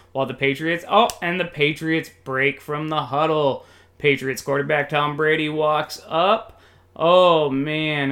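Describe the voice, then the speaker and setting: A man's voice: fairly high pitch, bright, forceful tone. A man doing play-by-play football commentary in a colloquial, jokey, exclamatory style. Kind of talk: excited sports commentary.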